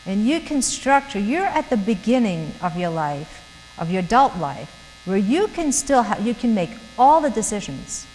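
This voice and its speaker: A woman's voice: mid-range voice, very animated, moderate pace, a little mellow. A middle-aged woman from Germany with a German accent, giving an earnest, chatty church talk to young professionals and laughing often. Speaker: guest speaker. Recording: hall reverb with faint mains hum.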